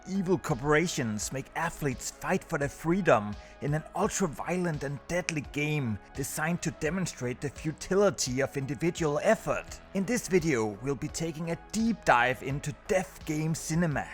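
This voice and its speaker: A man with a fairly high voice hosts a scripted countdown review. He narrates as a video presenter, with music playing underneath.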